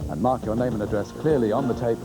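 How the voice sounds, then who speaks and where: British man, mid-pitched, scripted television presenter, clear music bed behind. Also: fast talker.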